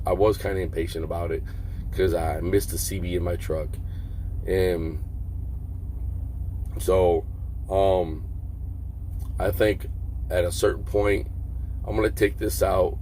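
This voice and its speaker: A man giving a casual vlog product review in a plainspoken, halting, rambling way. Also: sits low, darkish tone. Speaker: trucker reviewing a CB radio. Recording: clear vehicle and air-conditioning hum.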